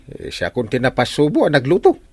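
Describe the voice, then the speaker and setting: An actor with an Ilocano accent playing a bumbling, boastful, cranky village captain in a radio comedy, blustering through many interjections. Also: male voice, a little high, wildly swooping pitch, a little fast, husky, exaggerated voice. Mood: grumbling. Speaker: comic radio drama actor.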